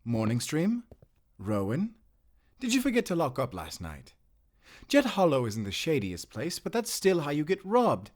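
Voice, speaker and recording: A man's voice: a little high, wildly swooping pitch, unhurried, exaggerated voice. A man playing a friendly, anxious tavern worker in a fantasy audio drama, casual and earnest in manner.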